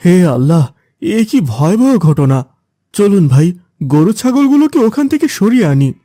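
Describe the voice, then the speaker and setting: An earnest village man, voiced by a male actor in a cartoon moral tale. His soft, mellow voice moves widely in pitch as he pleads with concern, recorded dry. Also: very animated, measured pace, no echo, full character voice. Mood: alarmed, earnest. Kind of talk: cartoon dialogue.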